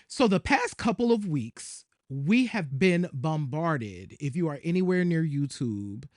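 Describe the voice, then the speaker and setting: A man with a somewhat high voice speaks as a podcast co-host. His delivery is casual and conversational, and the recording is dry with no room sound. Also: dry recording.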